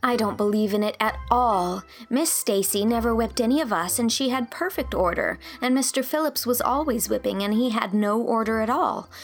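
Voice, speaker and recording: A woman's voice: on the high side, normal speed, soft background music, lightly acted. A woman voicing an earnest, idealistic young female teacher in an audiobook, speaking emphatically and pleadingly. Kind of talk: audiobook character dialogue.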